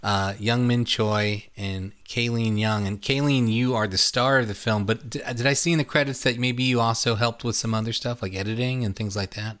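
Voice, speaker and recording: A man hosting a casual film interview, phrasing his questions in a halting, reflective way. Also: medium pitch, steady tone, a bit bright. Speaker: interview host.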